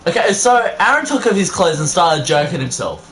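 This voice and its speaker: A man with a fairly high, animated voice, reading fan fiction aloud as a casual co-host. He is halting, exasperated and sweary, with faint noise in the room behind him. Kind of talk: casual banter and amateur read-aloud. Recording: noisy room background.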